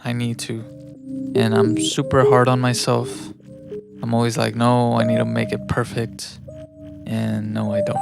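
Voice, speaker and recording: A man's voice: mid-range voice, completely flat delivery, a little slow, creaky. A man from Mexico living in the United States chats casually and thinks aloud in a rambling, self-reflective way while producing music on a livestream. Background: electronic music.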